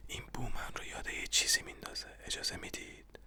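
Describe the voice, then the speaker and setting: A narrator voicing a paranoid, agitated man from a stage play in a whispered voice. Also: close to an everyday voice. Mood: musing.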